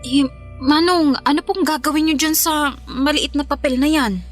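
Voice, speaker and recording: A woman's voice: high voice, normal speed, faint music behind, close to an everyday voice. An actress with a Filipino accent playing a young woman, an outspoken and suspicious loyal friend, in a radio drama. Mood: curious, uneasy.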